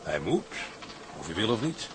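An actor playing a loyal, gruff seaman in a radio drama, plain-spoken and calming. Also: fairly low pitch, normal speed, background noise. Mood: firm, calming.

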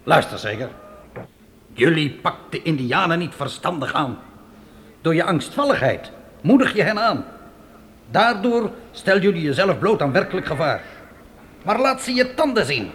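A man's voice: a little high, big pitch swings, normal speed, pauses often, a bit bright. An actor playing a seasoned, blunt frontier mountain man in a radio play, stern and emphatic as he admonishes. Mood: firm urging. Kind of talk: Dutch radio play acting. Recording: noisy old radio play recording.

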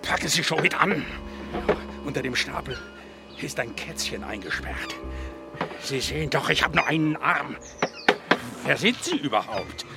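A man playing a gruff, distrustful older war veteran in a crime radio drama, brusque and scolding. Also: on the high side, very animated, measured pace, long pauses, music in the background, heavily acted. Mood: brusque, suspicious. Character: gruff, distrustful one-armed male war-veteran neighbour.

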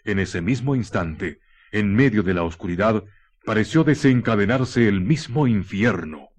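A man narrating a scripted radio horror drama in a deliberate, suspenseful manner with weighted pauses. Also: lowish voice, lively intonation, breathy edge. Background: faint music.

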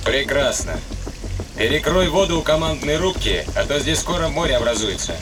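Man playing a brisk, higher-voiced starship captain. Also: lively intonation, noisy background, lightly acted. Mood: brisk, commanding. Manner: brisk, giving orders. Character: male spaceship captain, brisk and commanding. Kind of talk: audio drama acting.

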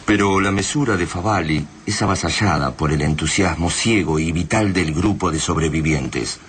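An actor with an Argentine accent narrating a grim invasion saga as a scripted radio drama in a solemn way. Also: male voice, low-pitched, moderate pace, slight background noise, close to an everyday voice.

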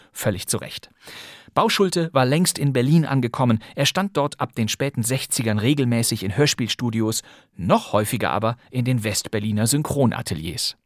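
A man hosting a radio-drama podcast, narrating a script in a lively, chatty way and speaking straight to the listener. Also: mid-range voice, no room sound, clean studio sound. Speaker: podcast host. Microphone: close studio microphone.

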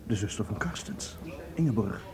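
A man's voice: fairly low pitch, animated, normal speed, noisy background, close to an everyday voice. A man acting in a radio drama as a polite, cool-headed bachelor doing covert watching. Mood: guarded. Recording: radio play with busy crowd ambience.

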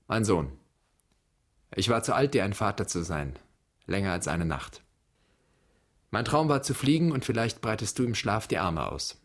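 A man's voice: fairly low pitch, sing-song, moderate pace, long pauses, a bit bright, full character voice. An actor playing a brooding grown son haunted by a father he never knew, speaking an introspective radio drama monologue. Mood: tender, imagined letter.

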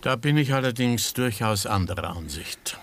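An actor playing a sardonic, disillusioned doctor in a German radio drama, speaking in a dry, articulate, ironic way. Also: male voice, mid-range voice, lively intonation, moderate pace, fairly bright tone. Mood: dry dissent.

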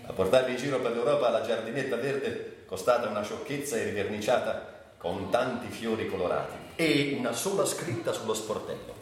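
A man performs a troubled, brooding soul in a radio drama monologue. He is cynical and haunted, swinging between outbursts and murmurs. The voice sits at a medium pitch, back from the mic in a very reverberant space, with faint noise behind it. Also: fairly quick, close to an everyday voice. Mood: nostalgic, wry. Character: troubled, bitter man recalling drug years, cynical and haunted. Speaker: stage actor interpreting a monologue. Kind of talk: dramatic literary monologue, theatrical radio acting. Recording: distant, very echoey, faint background noise.

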